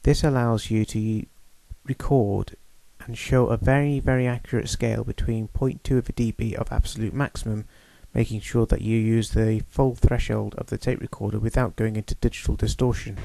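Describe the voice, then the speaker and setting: A man giving a measured, technical demonstration of a studio machine. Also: fairly low pitch, steady tone, vocal fry, dark tone, no echo, poor audio. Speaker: equipment demonstrator. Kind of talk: explanatory voice-over demonstration.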